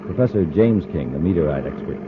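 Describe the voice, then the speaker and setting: Space patrol officer, deep voice, rapid crisp reporting, old radio drama, heavily muffled sound. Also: male voice, lively intonation, heavy background noise. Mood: brisk, informative. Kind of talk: old-time radio adventure acting.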